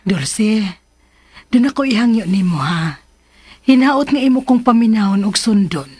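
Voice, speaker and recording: An actress playing a caring, worried mother in a radio drama, gentle but insistent as she cautions. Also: medium pitch, animated, a little slow, breathy edge, no room sound. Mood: worried, cautioning.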